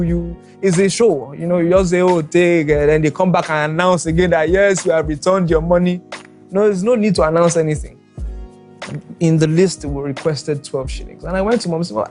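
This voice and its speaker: A man's voice: high voice, a little mellow. A man with a Nigerian accent, a podcast guest, telling a story in a jokey way and often repeating his words. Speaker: guest, husband. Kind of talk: casual podcast chat.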